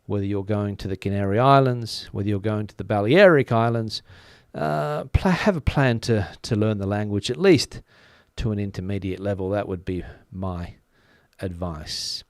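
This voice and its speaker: A man's voice: on the low side, normal speed, dry recording, clean studio sound. A middle-aged man, a native English speaker, hosting a casual live-stream news chat in a chatty, rambling way with many filler sounds. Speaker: news channel host. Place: home studio in front of a backdrop picture.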